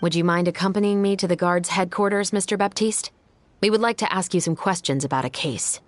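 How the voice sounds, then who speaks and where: A woman's voice: on the low side, brisk, slight background noise, natural voice. A woman voicing a serious, dutiful police captain in a video game, questioning with formal, restrained firmness. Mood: firm, formal. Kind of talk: dramatic video game voice acting. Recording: music behind the voice.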